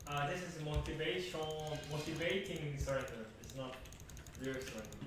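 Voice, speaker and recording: A man's voice: on the high side, lively intonation, normal speed, background noise. A man chatting casually while playing a game on stream. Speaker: gamer on stream. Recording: echoey, distant, under loud music.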